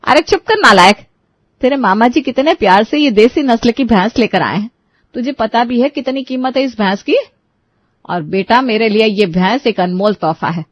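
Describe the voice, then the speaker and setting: A woman's voice: on the high side, expressive, moderate pace, no echo, lo-fi sound. A woman voicing an indignant elderly mother-in-law in a cartoon story, scolding sharply and dramatically.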